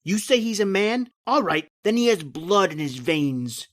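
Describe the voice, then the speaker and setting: An actor playing a hostile, suspicious castaway in an old-time radio horror drama, blunt and sneering. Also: male voice, high-pitched, sing-song, a little slow, a bit bright, exaggerated voice. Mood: angry, defiant.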